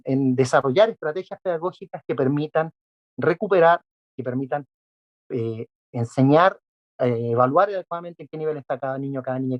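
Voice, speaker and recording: A man's voice: a little high, dull audio. A man, an education specialist, answering interview questions methodically, listing his points with frequent fillers. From not Peruvian.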